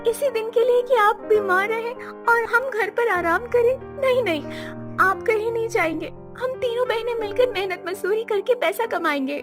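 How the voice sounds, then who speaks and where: A woman acts out an outspoken young village woman in a cartoon moral tale. Her voice is strikingly high and full of earnest insistence. Music is clearly heard beneath it. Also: normal speed. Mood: worried, insistent. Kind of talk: acted cartoon story dialogue.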